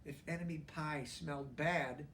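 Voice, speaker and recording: A man reads as a clever dad in a children's storybook. He is reassuring and secretive, speaking in a measured, knowing way. The voice is high for a man and a little away from the mic. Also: fairly even intonation, a bit bright, far from the mic, a little hiss or hum.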